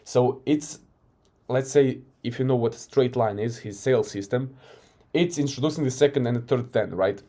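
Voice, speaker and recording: A man's voice: medium pitch, moderate pace, dark tone. A man with a Croatian accent, coaching by talking casually through a recorded sales call and often tagging "right?". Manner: loose and conversational, often tags "right?".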